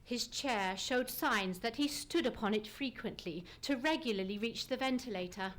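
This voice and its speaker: A woman's voice: on the high side, normal speed, a bit bright, close to an everyday voice. A woman acting a self-assured detective in a live old-time radio play, speaking in a precise, formal, theatrical way. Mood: confidently explaining.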